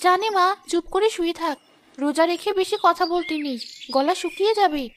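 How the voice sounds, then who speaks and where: A woman voicing a poor, tired mother in a cartoon who speaks softly and soothingly. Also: very high voice, a little fast, a little hiss or hum. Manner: soft, weary and soothing.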